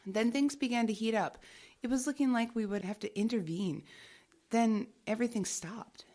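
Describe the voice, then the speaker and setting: An actress playing a guarded, businesslike investigator in an audio drama, matter-of-fact and evasive. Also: female voice, on the high side, normal speed, a little mellow, close to an everyday voice. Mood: measured, explanatory. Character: guarded, businesslike female investigator working undercover.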